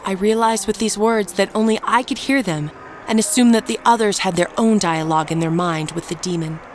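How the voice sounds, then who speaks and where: A woman playing a wronged, bitter village wife, telling her own dark fantasy tale in solemn, deliberate scripted narration. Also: mid-range voice, a little hiss or hum, natural voice. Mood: uneasy, awed. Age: middle-aged. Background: music.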